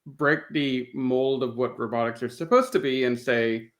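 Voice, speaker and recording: A man's voice: medium pitch, moderate pace, a bit bright. A man hosting a podcast, chatting casually through a review in a thoughtful, appreciative way and rephrasing as he goes. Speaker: podcast host.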